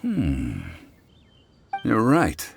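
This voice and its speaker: A man playing an ancient retired god in human form in a video game, calm, dignified, formal and measured. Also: on the low side, creaky, faint music behind, exaggerated voice. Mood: calm amusement. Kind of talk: video game character acting.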